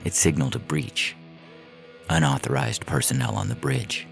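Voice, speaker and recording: A man narrating science fiction stories in a measured, deliberate way, with a gravelly voice. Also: fairly low pitch, very animated. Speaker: podcast story reader. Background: music.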